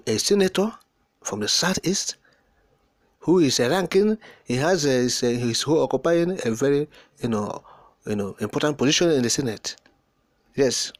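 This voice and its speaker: A man's voice: medium pitch, no echo, clean studio sound. A man with a Nigerian accent giving impassioned, unscripted political commentary in an emphatic, oratorical way, repeating and piling up words for emphasis.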